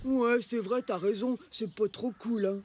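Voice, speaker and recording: Woman as a slow, bumbling cartoon boy. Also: a little high, fairly even intonation, a little mellow, slight background noise, close to an everyday voice. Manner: hesitant, bumbling, childlike. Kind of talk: French cartoon dub acting.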